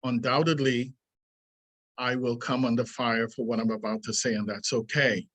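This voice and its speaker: Guy in his 60s reading his essay out loud, mid pitch, slow and earnest, keeps stopping and picking back up. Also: fairly even intonation. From Trinidad. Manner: earnest and deliberate, stops and restarts lines. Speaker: martial arts teacher. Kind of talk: reading a prepared essay aloud.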